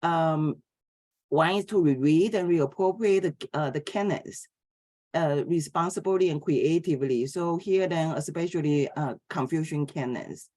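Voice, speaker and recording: A middle-aged woman from Taiwan, giving an academic philosophy lecture in a hesitant way, with many pauses and filler sounds. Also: sits low, a little mellow.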